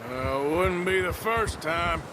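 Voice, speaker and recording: An actor playing a gruff, dry-witted American outlaw cowboy in a video game, with a laconic, sardonic drawl. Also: male voice, sits high, lively intonation, takes it slowly, noisy background. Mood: skeptical. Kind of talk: video game cutscene acting.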